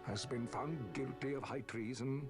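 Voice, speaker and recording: A man's voice: medium pitch, fairly even intonation, normal speed, music in the background, lightly acted. An actor playing a solemn older nobleman in a video game, giving formal, stately narration and oratory. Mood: grave, stately. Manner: grave, stately, declamatory.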